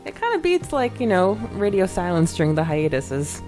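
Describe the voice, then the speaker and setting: A woman co-hosting a podcast in casual, chatty style, reading comments with hesitant pauses and giggles. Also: on the low side, very animated, over music. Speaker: podcast co-host.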